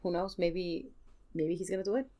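A woman's voice: fairly low pitch, normal speed, mellow. A woman chatting informally as a podcast guest, with loose, slangy phrasing. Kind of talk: casual chat.

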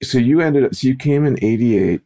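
A man hosting a podcast, speaking conversationally in thoughtful, halting phrases. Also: mid-range voice, expressive, mellow. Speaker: podcast host. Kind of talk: casual conversational interview.

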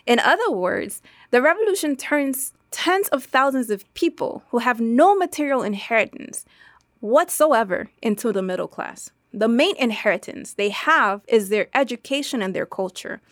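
A woman reading a dubbed translation of an interview answer in an articulate, deliberate, formal way. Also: fairly high pitch, expressive, dry recording, studio quality, natural voice. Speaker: voice-over translator dubbing an interview guest. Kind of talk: measured, read dubbed translation.

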